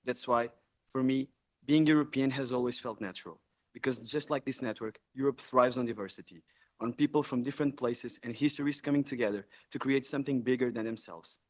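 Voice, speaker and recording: A young man from Portugal, the incoming president of a student network, giving an earnest, measured formal speech in a large hall. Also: mid-range voice. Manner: earnest, measured, occasional self-corrections.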